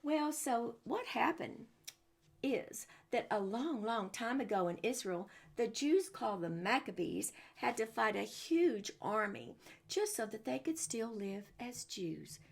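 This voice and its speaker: A woman's voice: on the high side, lively intonation, measured pace, creaky, slightly rough. A woman reading a picture book aloud to children, adding exclamations and chatty questions to her young listeners as she goes. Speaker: storyteller and religious teacher for children.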